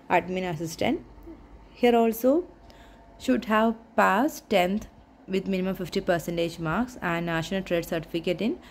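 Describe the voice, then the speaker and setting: A woman's voice: mid-range voice, slight background noise. A woman reading through a recruitment notice point by point, methodical, with small restarts. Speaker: job notification explainer.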